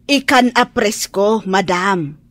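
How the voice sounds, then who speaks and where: A woman's voice: medium pitch, expressive, slow pace, a bit bright, dry recording. A woman playing a polite but persistent fish vendor with an Ilocano accent in a radio drama. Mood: polite, coaxing.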